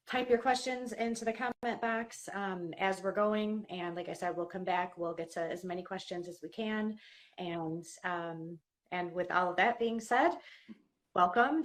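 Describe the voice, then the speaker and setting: A woman hosting a live online interview in a conversational style. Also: mid-range voice.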